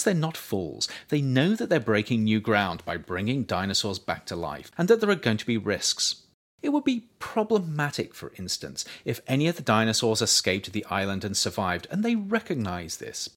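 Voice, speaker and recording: Lively low-voiced man reading his book. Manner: measured, reflective reading with pointed emphasis. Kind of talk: scripted nonfiction narration.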